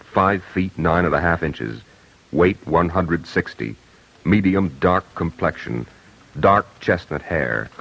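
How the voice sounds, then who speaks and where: Deep, slow male radio bulletin reader. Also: fairly bright tone. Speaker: reader of wanted-poster description. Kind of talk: clipped scripted bulletin, old radio. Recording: muffled old radio broadcast.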